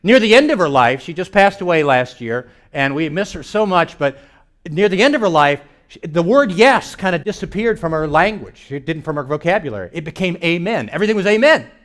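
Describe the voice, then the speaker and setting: High voiced guy doing standup storytelling for a live crowd, animated, acts stuff out. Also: fairly quick. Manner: animated, acts out reactions and dialogue. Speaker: comedian and keynote speaker. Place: church hall in front of a live audience. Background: audience laughter.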